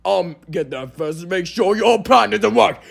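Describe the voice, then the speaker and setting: A man voicing a boastful, scornful male steam engine in a cartoon audition, with a pompous, theatrical, sneering delivery and a raspy, gravelly voice. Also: sits high, animated, a little slow, heavily acted. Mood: indignant.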